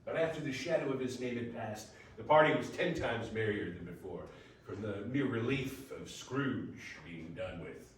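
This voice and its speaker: A man's voice: fairly low pitch, normal speed. A man narrating a classic story as scripted readers theater. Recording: very echoey, distant, faint noise.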